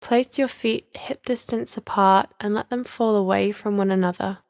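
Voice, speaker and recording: A woman leading a guided yoga nidra relaxation as a teacher, speaking in a soothing, measured, instructional manner with gentle pauses. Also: mid-range voice, fairly even intonation, gravelly, no echo.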